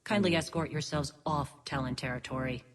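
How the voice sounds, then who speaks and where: A woman's voice: low voice, close to an everyday voice. Echoing, firm alien woman gang leader an actress's role. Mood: firm, guarded. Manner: measured, formal, firm. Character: principled alien female gang leader, wary, firm and dutiful. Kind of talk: video game voice acting. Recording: long hall reverb, noisy background.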